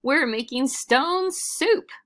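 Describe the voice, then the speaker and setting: A woman's voice: high-pitched, lively intonation, takes it slowly, fairly bright tone, pitched above the performer's own voice, exaggerated voice. A woman voicing cheerful, persuasive hungry travelers with sing-song, exclaiming delivery in a storybook. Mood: showy, persuasive. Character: two hungry, cheerful, persuasive male travelers, showy master cooks.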